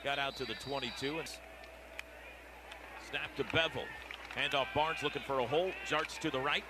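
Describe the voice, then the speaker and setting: A man's voice: mid-range voice, moderate pace. An American man calling live football play-by-play on the radio in clipped, urgent phrases. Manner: clipped, urgent running description. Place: outdoor football stadium. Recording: stadium radio broadcast, clearly noisy background. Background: steady stadium noise.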